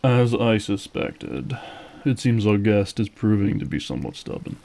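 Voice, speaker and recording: Ancient male vampire , man acting, audio roleplay: dark gravelly voice, weary, brooding, courteous threat. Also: fairly low pitch, big pitch swings. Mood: cold menace. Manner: slow, brooding, formal speech. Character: weary, bitter male vampire, centuries old, politely menacing.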